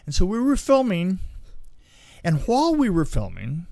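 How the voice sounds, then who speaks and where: A man telling anecdotes in a casual, halting, animated way on a podcast, as an experienced film crew storyteller. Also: sits high, gravelly.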